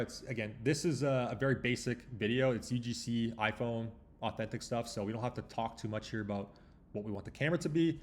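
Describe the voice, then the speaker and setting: A man hosting a tutorial, talking informally through a walkthrough and explaining as he thinks aloud. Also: mid-range voice. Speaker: tutorial host. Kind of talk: casual screen walkthrough tutorial.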